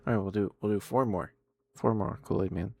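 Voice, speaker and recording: A man streaming ASMR close to the microphone, chatting casually and sometimes whispering, in a halting, rambling way. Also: fairly low pitch, creaky, warm tone, no echo. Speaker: ASMR livestreamer. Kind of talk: ASMR livestream, casual chat with whispered passages.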